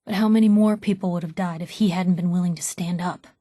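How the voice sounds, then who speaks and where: A woman's voice: on the low side, steady tone, quick pace, warm tone, no room sound, studio quality, close to an everyday voice. A woman playing a feisty, guarded young reporter, wry and loyal, in naturalistic video game dialogue with a sardonic, emphatic manner. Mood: earnest. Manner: sardonic, emphatic, hesitant when vulnerable. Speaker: video game companion character.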